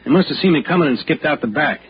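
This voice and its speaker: A man's voice: fairly high pitch, expressive, quick pace, natural voice. A man acting as a plain-spoken older American policeman in a 1960s radio drama, talking in a folksy way. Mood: chatty, explaining. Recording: dull, noisy 1960s radio recording.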